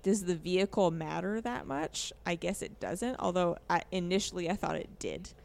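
A woman's voice: on the low side, expressive, normal speed, vocal fry, darkish tone. A woman co-hosting a podcast, casually discussing a video game in an informal, hesitant way as she thinks aloud. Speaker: podcast co-host.

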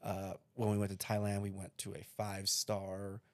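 Male co-host, 30s, gravelly, bone-dry close mic, relaxed rambling chat. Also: fairly low pitch, moderate pace, dry recording. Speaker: podcast co-host. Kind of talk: casual chat.

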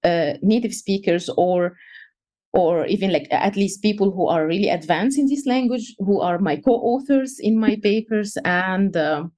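A young woman speaks English at a middling pitch. She answers interview questions in halting, thoughtful phrases, often restarting sentences and filling pauses. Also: animated, mellow. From Egypt. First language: Egyptian Arabic. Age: young adult. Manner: halting, many fillers and restarts. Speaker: guest researcher.

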